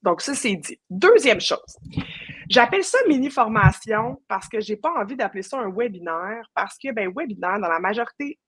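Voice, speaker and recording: A woman's voice: medium pitch, animated, moderate pace, fairly bright tone, husky, no room sound. A woman with a Quebec French accent hosting a casual live online session, chatty and informal, dropping in English words. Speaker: web marketing professional. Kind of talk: casual live webinar chat.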